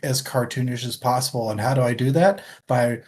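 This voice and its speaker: Casual book-club host, mid-pitched voice, wry and conversational. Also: fairly quick, a little mellow, breathy edge. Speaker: book discussion show host. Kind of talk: casual chat, book discussion.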